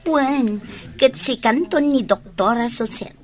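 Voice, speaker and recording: A woman's voice: a little high, slow. A woman with an Ilocano accent playing an eager, ambitious young student in a radio drama. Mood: hopeful. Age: young adult.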